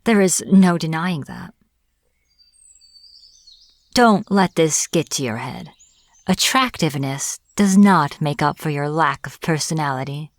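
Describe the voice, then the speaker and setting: A woman playing a smug, teasing witch in an audio roleplay, taunting a captive in a playful, deliberate manner. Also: sits low, lively intonation, no room sound. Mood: flustered, defensive. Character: smug teasing young-sounding witch, captor of a hunter. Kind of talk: audio roleplay, acted monologue to the listener.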